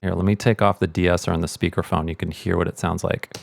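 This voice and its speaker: A man's voice: low voice, flat delivery, fast talker, creaky. A middle-aged man hosting a casual audio tutorial, explaining things as a sound mixer would. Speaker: host, sound editor and mixer.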